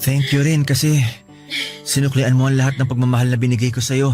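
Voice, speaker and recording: An actor with a Filipino accent playing an earnest, devoted groom-to-be in a radio drama. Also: male voice, medium pitch, little pitch movement, brisk, darkish tone, faint music behind, natural voice. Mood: loving, earnest. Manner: affectionate, earnest.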